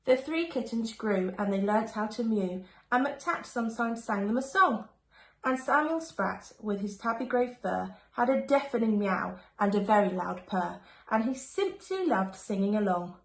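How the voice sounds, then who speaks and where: Female storybook reader, higher-pitched, sing-song and expressive, teacherly delivery for young children, recorded in a roomy space. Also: moderate pace. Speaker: primary school teacher reading aloud. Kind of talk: expressive children's picture-book reading. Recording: echoey room with faint background noise.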